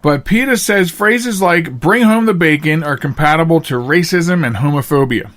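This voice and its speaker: Rambling male podcast host, higher-pitched voice. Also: moderate pace, fairly bright tone. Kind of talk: casual, chatty monologue to listeners.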